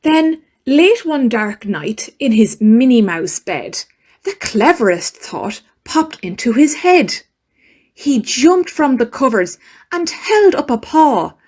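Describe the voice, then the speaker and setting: A woman reading a rhyming children's story aloud as a storyteller, expressively and with dramatic pauses. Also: fairly high pitch, big pitch swings, a little mellow, husky. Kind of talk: scripted children's verse reading.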